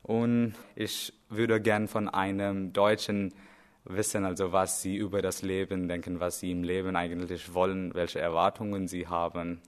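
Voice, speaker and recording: A young man from India with an Indian accent, a native Hindi speaker, talking about learning German and pausing often to search for words. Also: sits low, flat delivery, smooth. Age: young adult. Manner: halting, searching for words with frequent fillers. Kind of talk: personal portrait talk.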